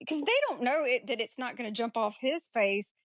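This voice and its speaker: A woman's voice: a little high, animated, a little fast, dry recording. Woman chatting casually in a dry, close recording. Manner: informal, blunt and slangy, with mild swearing. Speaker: podcast co-host. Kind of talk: casual film discussion.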